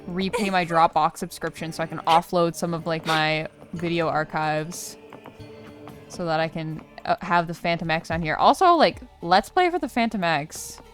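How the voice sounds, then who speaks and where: A woman's voice: fairly low pitch, moderate pace. Chatty young woman, casual gaming commentary. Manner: chatty, slangy, thinking aloud. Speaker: gaming streamer. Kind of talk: casual let's play commentary. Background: game music.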